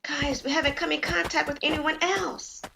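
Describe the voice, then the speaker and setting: A woman voicing a nervous, complaining young woman hiker in an audio drama, her lines high and urgent. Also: fairly even intonation, moderate pace, gravelly, pushed and bright, a little hiss or hum, full character voice. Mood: worried.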